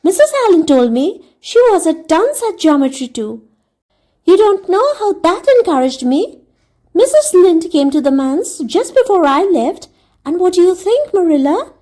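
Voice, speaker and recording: A woman's voice: very high voice, big pitch swings, normal speed, warm tone, voice pushed up. A woman voicing an eager, dreamy, talkative young girl, gushing earnestly as a storybook character. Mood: eager, chatty. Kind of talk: audiobook character reading.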